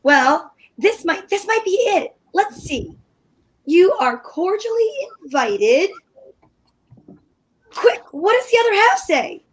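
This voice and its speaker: Woman acting an anxious, high-voiced housewife. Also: sing-song, takes it slowly, heavily acted, poor audio. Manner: impatient, insistent. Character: anxious, pushy, socially slighted housewife. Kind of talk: old time radio comedy acting.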